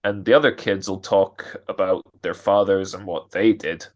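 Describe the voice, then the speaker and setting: A man's voice: low voice, steady tone, normal speed, a little mellow, natural voice. A man playing a nervous, fretful expectant father with an anxious stammer in a radio drama. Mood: worried, guilty. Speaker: amateur radio drama actor.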